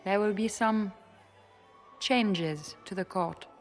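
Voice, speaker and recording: A woman playing a shrewd, proud elven spymaster in a fantasy video game, speaking in a measured, pointed, self-assured way. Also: mid-range voice, big-room sound, soft background music. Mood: quietly resolved. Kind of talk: dramatic video game acting.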